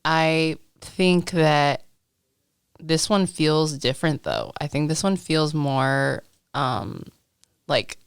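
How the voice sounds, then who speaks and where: A woman of twenty-five, a guest musician, musing reflectively in a casual podcast chat, trailing off in thought, on a studio boom mic. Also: expressive, slow, clean tone, dry recording. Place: small decorated podcast studio. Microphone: studio boom mic with headphones.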